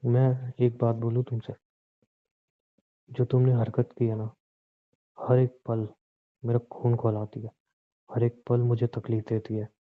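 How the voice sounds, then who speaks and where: A man's voice: mid-range voice, completely flat delivery, measured pace, pauses often, lightly acted. A man playing a defensive husband in an audio drama, swinging between grudging apology and accusation in conversational speech. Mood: bitter, accusing. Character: defensive, short-tempered husband, grudgingly apologetic, nagging his wife. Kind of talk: Hindi audio drama dialogue, conversational acting. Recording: muffled, phone-like narrow sound.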